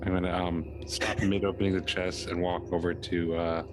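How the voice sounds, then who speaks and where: Male tabletop player, deep voice, casual, clear music in background. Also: flat delivery, normal speed. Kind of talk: casual game-table chat.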